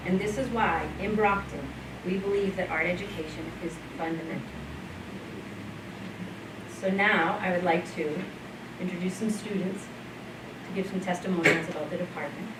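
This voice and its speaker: A woman's voice: on the low side, steady tone, moderate pace, long pauses. A woman introducing student speakers at a school event in a plain, matter-of-fact way. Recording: echoey room, voice from far off, noisy.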